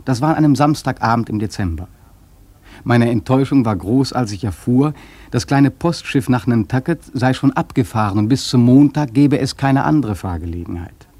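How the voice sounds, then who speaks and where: An actor playing a brooding, wry sailor who narrates in a reflective, dramatic radio-play monologue, with a husky voice. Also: male voice, medium pitch, expressive, mellow, no room sound. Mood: gloomy storytelling. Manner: reflective, dramatic storytelling with deliberate emphasis.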